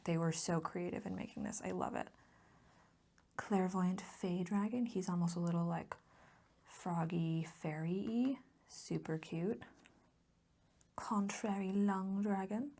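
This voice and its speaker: A woman speaking in a soft, whispery voice for a casual ASMR chat, rambling along with gentle enthusiasm close to the mic. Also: lowish voice, slow pace, gravelly, pauses often. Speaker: ASMR video host.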